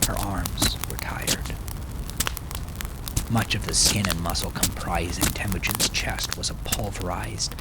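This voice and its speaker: A man narrating dark fiction in an intense, theatrical way, often sinking to a hushed murmur. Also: low voice, fairly even intonation, measured pace, very noisy. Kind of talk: dramatic scripted fiction narration. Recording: loud music under the voice. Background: loud music.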